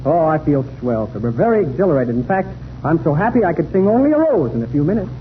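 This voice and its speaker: Lively, cheerful army lieutenant. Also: male voice, on the high side, fast talker. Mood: polite, cheerful. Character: eager, respectful army lieutenant, cheerful and polished. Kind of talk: 1930s radio comedy acting. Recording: tinny 1930s radio, faint noise.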